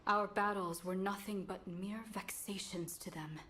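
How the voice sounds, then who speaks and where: A woman's voice: medium pitch, fairly even intonation, unhurried, bright, forceful tone. An actress playing a solemn woman warrior from long ago in game cutscene dialogue, speaking in formal, old-fashioned phrases.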